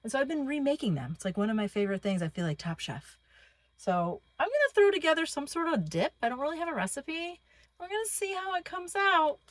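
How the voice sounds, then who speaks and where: A woman's voice: high-pitched, big pitch swings, a little fast, no room sound. A woman chatting casually and informally to viewers as the host of a travel vlog, upbeat and chatty. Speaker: travel vlogger, channel host.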